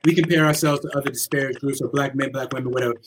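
A man's voice: mid-range voice, fairly even intonation, racing. A man co-hosting a podcast, talking in a casual, emphatic and profane way during a heated discussion. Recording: noisy background with keyboard clicks.

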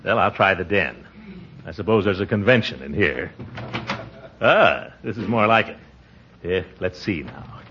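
A man's voice: sits low, animated, a little slow, long pauses, pushed and bright, exaggerated voice. An actor playing a pompous, easily exasperated middle-aged uncle in a 1940s radio comedy, blustering and sputtering as he grumbles. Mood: grumbling, distracted. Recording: dull, noisy 1940s radio recording.

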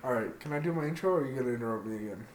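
A man's voice: mid-range voice, animated, racing. A young man hosting a podcast, bantering casually with friends and laughing often. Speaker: podcast host. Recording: echoey room with faint noise.

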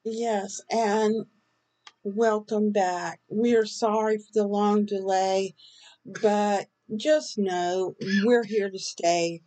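Lady doing a devotional podcast, bit hoarse, soft dark tone, medium pitch. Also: fairly even intonation, extremely slow. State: slightly hoarse, recovering from illness. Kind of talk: devotional talk with scripture reading.

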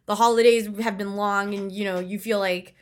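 A woman's voice: medium pitch, fairly even intonation, moderate pace. A woman co-hosting a podcast in casual chat, talking freely with frequent fillers and laughs. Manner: chatty, laughs often, many fillers. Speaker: podcast co-host.

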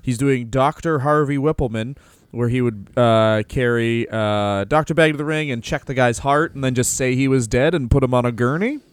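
A man's voice: medium pitch, normal speed, smooth, dry recording. Rambling casual podcast guy. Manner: rambling, loose, swears casually, many fillers. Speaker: podcast co-host. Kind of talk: casual wrestling podcast chat.

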